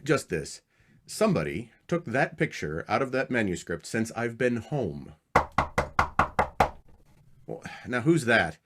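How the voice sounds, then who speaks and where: Radio mystery husband, male actor: earnest, probing, slightly hesitant, highly varied intonation. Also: sing-song, slow pace, long pauses, very noisy. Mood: probing, uneasy. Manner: questioning, hesitant. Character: earnest, uneasy husband, a book editor. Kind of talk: old-time radio mystery acting.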